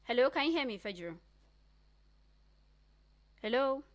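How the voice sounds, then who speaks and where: A woman's voice: a little high, animated, measured pace. Nigerian woman hosting a radio interview. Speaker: radio host interviewing a guest.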